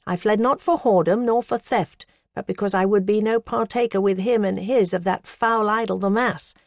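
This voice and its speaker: A woman's voice: steady tone, moderate pace, no echo, studio quality, natural voice. A woman voicing a defiant, pious woman who scolds her accusers with rising passion. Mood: earnest. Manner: impassioned, scolding, rising pitch.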